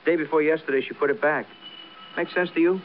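An actor playing a brisk, needling American police detective sidekick, clipped and wry, in old-time radio crime drama. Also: male voice, on the high side, steady tone, lightly acted. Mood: brisk, informal. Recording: muffled, noisy vintage radio broadcast.

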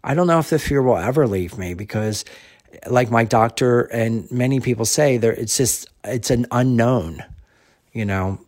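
A man describing his recent illness in a halting, earnest interview clip, still getting over a cough and head cold. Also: medium pitch, darkish tone. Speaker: interviewee, recovered patient.

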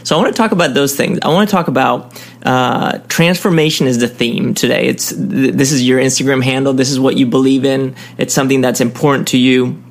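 Male interview host, middle pitch, gravelly, curious and rephrasing. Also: steady tone, a little fast. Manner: curious, rephrasing questions with fillers. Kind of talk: conversational interview.

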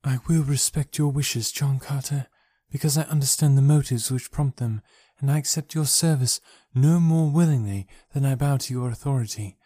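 A man's voice: mid-range voice, moderate pace, few pauses, mellow, dry recording, studio quality, lightly acted. A man reading aloud and voicing a noble, proud young alien princess who speaks earnestly and pleads. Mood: solemn.

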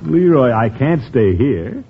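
An actor playing a pompous, blustery middle-aged uncle in a 1950s radio comedy. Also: male voice, mid-range voice, very animated, takes it slowly, husky, heavily acted. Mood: exasperated, firm. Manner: pompous, theatrical. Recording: tinny, noisy old radio recording.

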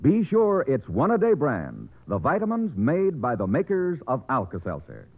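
A man's voice: mid-range voice, huge pitch swings, moderate pace, breathy edge. An American man reading scripted announcements in the style of a vintage radio advertisement. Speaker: commercial announcer. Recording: muffled vintage radio broadcast, narrow band.

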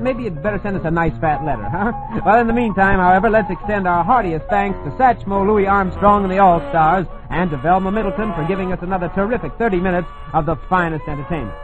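A dull old radio recording with faint music carries an American man's voice. He is a brisk, high-voiced show host, chatting to listeners in folksy, scripted announcer style. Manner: chummy, folksy direct address to listeners. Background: music behind the voice.

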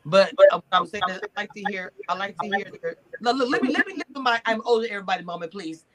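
A woman's voice: mid-range voice, lively intonation, fast talker, heavy background noise. A woman in her forties bantering casually in a group chat, teasing and playfully challenging. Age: 40s. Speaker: panelist on a TV recap livestream.

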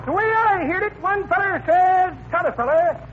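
A man's voice: extremely high pitch, expressive, normal speed. An actor playing a chipper, joking old man with a rural American accent and folksy drawl in a radio comedy. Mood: jovial, teasing. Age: older. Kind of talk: 1940s radio comedy acting. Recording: muffled, noisy vintage radio recording.

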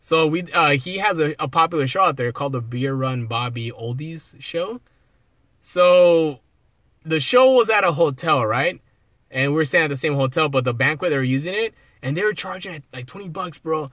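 A man's voice: on the high side, animated, moderate pace, a bit bright, dry recording, studio quality. A man, a comedian guest on a podcast, chatting in slangy talk full of fillers. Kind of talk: casual chat.

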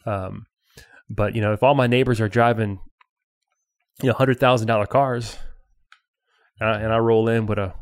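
A man co-hosting a personal finance podcast, relaxed and conversational, with a teasing streak. He explains ideas with lively jumps in emphasis. His voice sounds close and dry, with no room around it. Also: fairly low pitch, normal speed, pauses often, no echo. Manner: playful, teasing, animated. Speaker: podcast co-host. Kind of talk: casual financial podcast chat.

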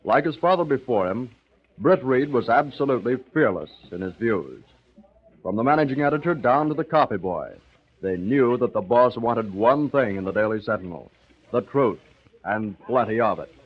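An actor as a stirring announcer narrating a 1940s radio crime-adventure serial. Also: male voice, medium pitch, expressive, normal speed, slightly rough. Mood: earnest, measured. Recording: dull old radio recording, crowd-like din behind.

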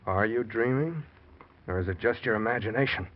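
An actor playing a testy, defensive husband with a guilty secret in an old-time radio thriller. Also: male voice, fairly low pitch, a little fast, slight background noise, natural voice. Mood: suspicious. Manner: curt, defensive, suspicious. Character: guilty, testy husband hiding a deadly scheme. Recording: dull vintage radio recording.

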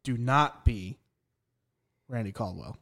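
A man co-hosting a casual podcast, delivering his remarks with a dry, teasing deadpan. His voice sits at an ordinary middle pitch. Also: slow pace, creaky. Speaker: podcast co-host. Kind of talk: casual chat.

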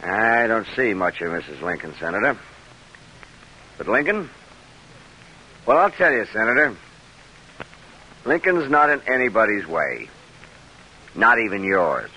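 Blunt, bright-voiced lawyer. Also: male voice, lowish voice, big pitch swings, unhurried, pauses often, a little hiss or hum, full character voice, poor audio. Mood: blunt, earnest. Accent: American. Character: blunt, probing male lawyer, loyal go-between. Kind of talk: old-time American radio drama acting. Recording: vintage radio broadcast recording.

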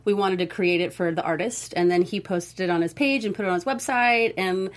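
A woman dance coach answering interview questions casually, in an informal, emphatic way with halting starts. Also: lowish voice.

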